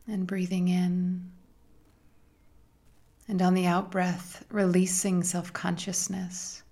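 A woman leading a guided meditation in a soft, gentle, soothing manner with long pauses and breathy phrase endings. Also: lowish voice.

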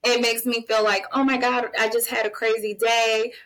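A woman's voice: on the high side, level tone, normal speed, fairly bright tone, poor audio. A woman in her late thirties speaking as a podcast guest, giving candid, emphatic personal advice straight to the listeners. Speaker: podcast guest, mental health advocate and guardian. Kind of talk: conversational interview, personal testimony and advice.

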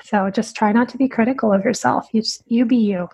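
A woman's voice: fairly high pitch, fairly even intonation, brisk, vocal fry, darkish tone, husky. A woman speaking as a dietitian guest on a podcast, answering in a direct, earnest way.

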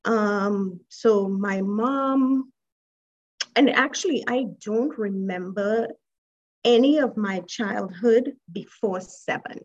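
A woman from Trinidad and Tobago, a guest telling her life story in an interview, reflective and hesitant, over muffled call audio. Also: mid-range voice. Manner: reflective, unhurried, with soft laughs and hesitations.

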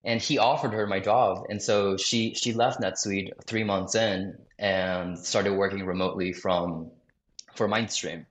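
Young guy from south florida, lowish voice, rambly with lots of likes and you knows, echoey room. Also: steady tone, a little slow. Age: young adult. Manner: rambling, filler-heavy, many restarts. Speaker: sales director, podcast guest. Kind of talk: casual interview talk.

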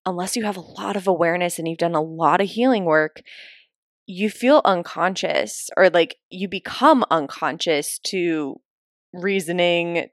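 Chatty woman, slightly raspy. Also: lowish voice, no echo, clean studio sound. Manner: chatty, earnest, laughs often, many fillers like "like" and "you know". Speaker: podcast host and business coach. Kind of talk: casual solo podcast monologue.

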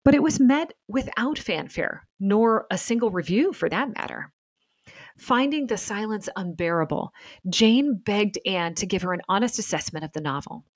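A woman hosting and narrating a scripted documentary in a measured, articulate storytelling manner. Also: medium pitch, expressive, no echo. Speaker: podcast host and narrator.

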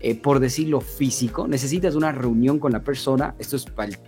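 A man's voice: mid-range voice, a little fast, mellow, soft background music. A man with an Ecuadorian accent giving an informal sales lesson with role-played examples, often checking in with short tag questions. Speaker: sales and marketing trainer.

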